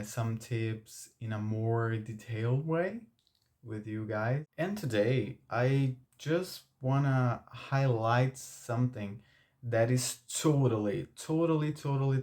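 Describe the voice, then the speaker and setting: A man's voice: mid-range voice, darkish tone. A young Brazilian man with a Brazilian Portuguese accent, a native Portuguese speaker, talking to camera for his channel in a hesitant way with many fillers and restarts. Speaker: YouTube channel host. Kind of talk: unscripted talk to camera.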